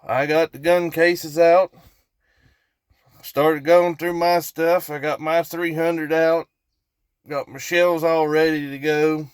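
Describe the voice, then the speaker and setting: Male storyteller, Appalachian accent, slightly high-pitched, folksy and casual, unhurried tangents, dry close sound. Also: level tone, clean tone, dry recording. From West Virginia, United States. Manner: folksy, rambling, repeats for emphasis. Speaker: storytelling vlogger.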